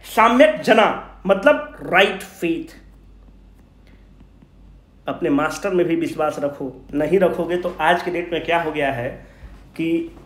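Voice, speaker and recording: A man giving an informal exam revision lecture, asking questions and answering them himself in colloquial speech, mixing in English terms. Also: a little high. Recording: echoey room.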